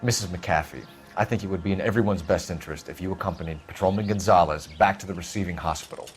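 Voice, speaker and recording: An actor playing an earnest male police detective who questions people in a firm, measured way. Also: deep voice, soft background music, close to an everyday voice. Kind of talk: video game voice acting.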